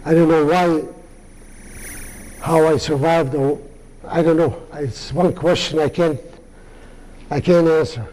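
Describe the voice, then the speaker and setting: Older man, halting speech, mellow tone, fairly high voice. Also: fairly even intonation, rough recording. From Lithuania. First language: Yiddish. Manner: halting, many pauses and restarts. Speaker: Holocaust survivor speaker. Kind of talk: answering audience questions.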